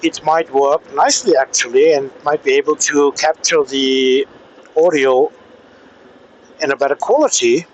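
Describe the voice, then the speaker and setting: Slow, halting man with a higher-pitched voice. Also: fairly even intonation, low-quality recording. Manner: hesitant, halting delivery with pauses. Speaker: motorcycle vlogger testing gear. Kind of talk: casual vlog talk while riding. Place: outdoors, riding a motorcycle in traffic. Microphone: helmet intercom microphone. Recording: helmet intercom with faint vehicle and air noise.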